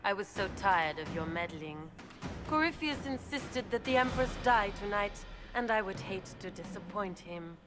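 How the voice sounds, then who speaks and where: A woman's voice: medium pitch, animated, moderate pace, close to an everyday voice. A woman playing a smug, scheming royal villainess in a fantasy video game, speaking with theatrical condescension. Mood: smug, silky. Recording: music behind the voice.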